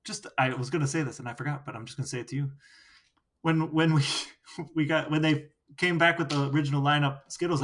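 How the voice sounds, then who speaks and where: A man co-hosting a podcast, chatting and asking questions in a wry, mock-dramatic way. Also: fairly high pitch. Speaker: podcast co-host. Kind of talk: casual chat and interviewing.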